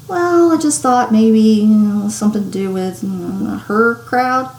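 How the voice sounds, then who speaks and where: A woman's voice: mid-range voice, measured pace, a little mellow, close to an everyday voice. A woman reading the part of a nervous, hesitant middle-aged husband in a storybook, faltering and mumbling, in an echoey room. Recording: echoey room with faint noise.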